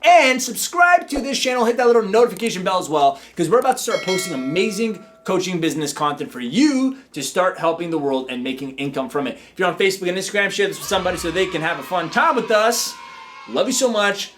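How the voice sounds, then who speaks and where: Male coach-host, confident and upbeat, playful, slightly higher voice. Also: animated, fast talker, fairly bright tone, a little hiss or hum. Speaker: business coach and show host. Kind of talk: motivational coaching talk.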